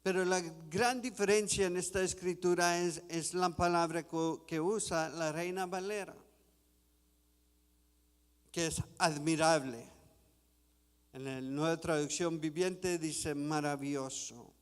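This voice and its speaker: Guy preaching in a big reverby church, kinda high voice, takes his time. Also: steady tone, clear, resonant tone. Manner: slow, deliberate scripture reading. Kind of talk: sermon. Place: church sanctuary.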